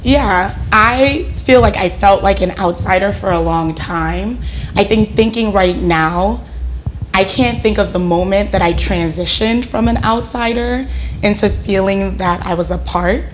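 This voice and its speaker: A woman in her thirties with an American accent, a guest on a talk show who tells lively stories and keeps adding "right?" as she goes. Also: mid-range voice, measured pace, slight background noise, poor audio. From Ghana, raised in the United States. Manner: animated storytelling, often adds "right?". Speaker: guest, education reformer. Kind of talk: casual talk-show conversation. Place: living-room studio set, indoors. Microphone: lapel mic.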